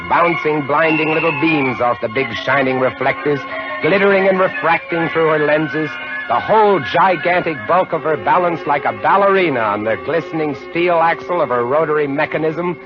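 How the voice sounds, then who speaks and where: An actor narrating as a lighthouse keeper with vivid, dramatic storytelling in a 1950 radio drama. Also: male voice, fairly high pitch, moderate pace, natural voice. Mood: tense. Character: lighthouse keeper recounting a horror, male, adult. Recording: dull old radio broadcast with music.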